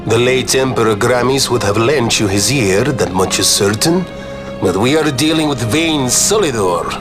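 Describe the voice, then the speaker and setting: Male actor as articulate nobleman, formal, theatrical, very varied intonation, grave fantasy game drama, clear musical score behind. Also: mid-range voice, lively intonation, moderate pace, over music, lightly acted. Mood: grave, wry. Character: eloquent adult nobleman giving a grave war briefing. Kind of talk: dramatic video game voice acting.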